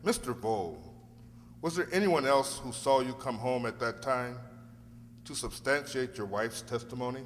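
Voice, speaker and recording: A man's voice: mid-range voice, animated, moderate pace, natural voice. Guy doing a polite earnest lawyer, stagey radio play, formal talk, echoey hall with some hum. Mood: reassuring, formal. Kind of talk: radio drama acting.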